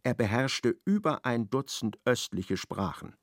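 A man giving measured, ironic literary narration in a radio play. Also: medium pitch, lively intonation, no room sound, clean studio sound.

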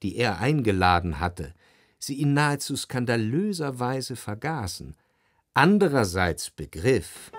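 An actor playing an urbane literary narrator with measured, dryly ironic radio drama delivery. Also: male voice, fairly low pitch, close to an everyday voice.

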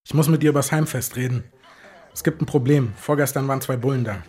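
An actor in a radio drama playing a streetwise, wary small-time drug dealer, matter-of-fact with a friend. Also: male voice, mid-range voice, fairly even intonation, fast, darkish tone, faint music behind, natural voice.

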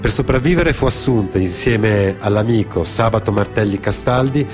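A man's voice: fairly low pitch, normal speed. A man delivering a dramatic narrative monologue in an emphatic, cadenced way. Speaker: theatre storyteller. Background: music.

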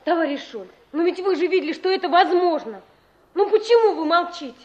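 High-voiced, stubborn village girl. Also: animated, fairly quick, a little mellow. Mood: pleading. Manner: insistent, direct. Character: very young village woman, stubborn, naive, eager. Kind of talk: 1960s Soviet radio play acting. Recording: dull old radio drama recording.

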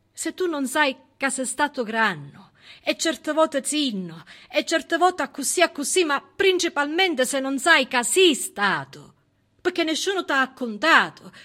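A woman with a southern Italian accent performing a theatrical monologue in an expressive, dramatic way. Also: high-pitched. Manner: expressive, dramatic, shifting between hushed and emphatic delivery. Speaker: stage actress, solo performer. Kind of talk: theatrical monologue with dialect and song.

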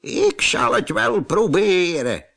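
A kindly old toy monkey acted by a man from a children's fairy tale, modest but plucky. The voice is raised and slightly husky, with a lively lilt. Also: high-pitched, slow, pitched above the performer's own voice, full character voice. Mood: modest, willing.

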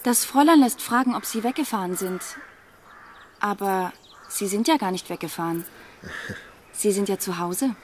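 An actress playing a plain-spoken, dutiful young maid in a German radio drama, delivering messages matter-of-factly. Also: female voice, mid-range voice, lively intonation, normal speed, long pauses, slight background noise, lightly acted. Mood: dutiful, surprised. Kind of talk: German radio drama acting of a classic novel. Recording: faint outdoor ambience with birds.

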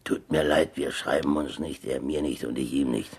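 Ein Schauspieler spielt in einem Hörspiel einen schroffen, trocken-ironischen deutschen Seemann in einer Gefängniszelle, umgangssprachlich und wortkarg. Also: male voice, rumbling low, steady tone, normal speed, breathy edge, full character voice. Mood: weary, annoyed. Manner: colloquial, dry, laconic. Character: gruff, wry German sailor in a prison cell. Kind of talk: German radio play acting, 1970s.

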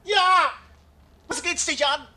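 A woman's voice: extremely high pitch, slow pace, sharp tone, heavily acted. A woman playing a panicked, foul-mouthed, hot-headed crook in a German radio crime drama, exclaiming in agitation. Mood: panicked, furious.

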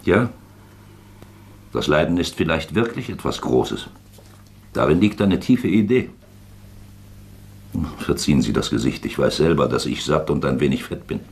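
Very deep, creaky, shrewd investigator. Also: male voice, heavily acted. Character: shrewd, self-admittedly plump male investigating official, paternally probing. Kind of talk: German radio drama acting.

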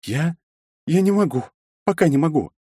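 A young woman, clipped and proud, near tears, put on by a male reader. Her voice sits high above his own register and comes out loud and strained. The sound is dry and close. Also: expressive, moderate pace, breathy edge, no echo. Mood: hesitant. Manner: clipped, then sobbing pleas.

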